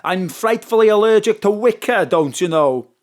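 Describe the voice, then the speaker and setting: A pompous, plummy president, acted for a comic audio drama. He sounds fussy and easily baffled, with pitch that swoops about. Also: lively intonation, normal speed, natural voice. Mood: flustered.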